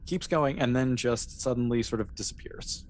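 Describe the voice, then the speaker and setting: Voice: medium pitch, normal speed. A game master improvising narration for a tabletop role-playing game in an informal, conversational way.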